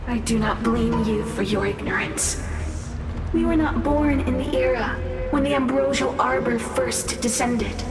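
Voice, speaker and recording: A woman playing a proud, fanatical cult leader craving immortality, with solemn, grandiose delivery in a dramatic game style. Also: on the high side. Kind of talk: dramatic video game acting. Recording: echoey, distant, under loud music.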